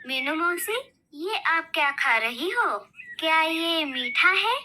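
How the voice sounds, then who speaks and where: A nosy female cartoon bird, played by a woman, wheedles politely and sweetly. Her voice is high and extremely bright, with an unhurried delivery. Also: animated, full character voice. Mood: wheedling. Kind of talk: children's cartoon voice acting. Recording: noticeable background noise.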